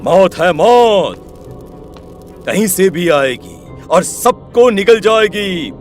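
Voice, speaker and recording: A man plays a grave tantric holy man in a horror audio tale. He speaks deliberately and ominously, with faint music behind. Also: sits high, big pitch swings, heavily acted. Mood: grave warning. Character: grave, ominous male village tantric mystic.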